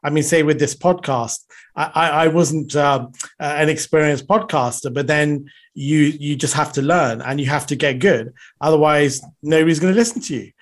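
Guy hosting a podcast, kinda higher voice, lots of ums and restarts. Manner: warm, halting, many fillers and restarts. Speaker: podcast host. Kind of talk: podcast interview.

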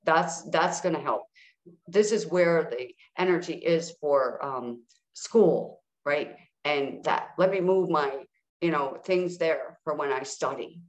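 Woman, low voice, soothing guidance, repeated check-ins, roomy echo. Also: takes it slowly. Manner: soothing, often checks in with "all right?". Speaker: guest manifestation coach. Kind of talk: guided healing talk.

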